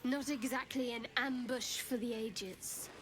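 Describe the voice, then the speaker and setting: An actress plays a haughty warrior woman in a fantasy game, fairly high-voiced and slow. Her delivery is bored and drily scornful. A faint noise sits behind her. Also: steady tone, lightly acted. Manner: dry sarcasm, scornful.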